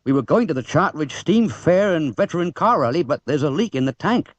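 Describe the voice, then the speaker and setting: Male reader as a friendly country farmer, rustic, plain-spoken, animated pitch, muffled old cassette. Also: fairly quick. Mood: rueful. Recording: dull, roomy old cassette tape.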